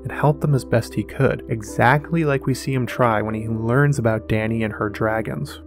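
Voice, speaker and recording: A man's voice: mid-range voice, normal speed. Man narrating scripted analysis over music. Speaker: host of a fantasy lore analysis show.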